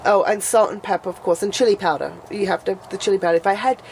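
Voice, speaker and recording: A woman's voice: medium pitch, steady tone, fast, darkish tone, a little hiss or hum, rough recording. A woman vlogging in casual chat, her voice a bit nasal and sniffly from a cold, rambling and restarting her sentences.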